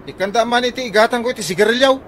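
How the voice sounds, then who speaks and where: A man plays a cheeky, defiant layabout son in a radio comedy drama. His voice is high for a man and argumentative, with faint noise and birds behind. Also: very fast, a bit bright. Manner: brash, argumentative. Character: lazy, defiant grown son, a cheeky troublemaker.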